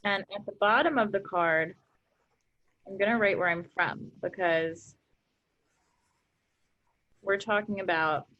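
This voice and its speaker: A woman's voice: medium pitch, slow pace, pauses often, lo-fi sound. A young woman presenting a workshop, cheerfully explaining each craft step as she works. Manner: upbeat, explains steps while working. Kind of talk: instructional craft demonstration.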